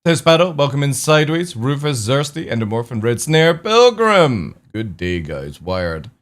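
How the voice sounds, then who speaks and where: A man's voice: medium pitch, big pitch swings, normal speed. A young man streaming, chatting casually with viewers, swearing now and then, his voice a little crackly. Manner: casual, swears, greets chat by name. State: slightly crackly voice.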